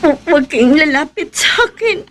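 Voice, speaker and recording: A woman's voice: high voice, expressive, normal speed, background noise. A woman voicing a frightened young village woman who has been hexed, stammering and pleading through sobs in a radio drama. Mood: fearful.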